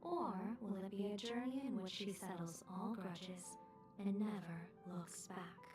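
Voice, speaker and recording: An actress playing a calm, resolute fortune-telling strategist in a game, speaking in measured, formal lines. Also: female voice, mid-range voice, distant mic, over music, natural voice. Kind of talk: scripted game dialogue.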